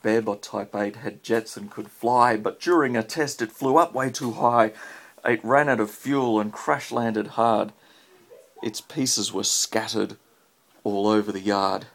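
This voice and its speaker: An Australian man with a husky, creaky voice, reading a picture book aloud and chatting simply with a child as a parent would. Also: lowish voice, steady tone, measured pace, a bit bright.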